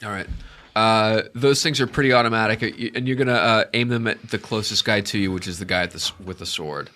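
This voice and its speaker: A congested man narrating as dungeon master, halting with many fillers. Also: lowish voice, bright, forceful tone. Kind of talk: narrating a tabletop game.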